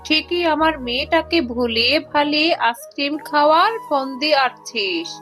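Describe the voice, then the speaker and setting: A haughty, rich mother tigress from a cartoon as a woman plays her, high and sharp. She scolds with open contempt, music playing underneath. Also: animated, normal speed. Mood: angry contempt. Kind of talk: Bangla cartoon voice acting.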